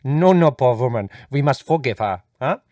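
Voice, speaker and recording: A man voicing an excitable, earnest male guest who speaks in a pedantic, effusive, formal way. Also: mid-range voice, moderate pace, no echo, clean studio sound, voice pushed up, lightly acted.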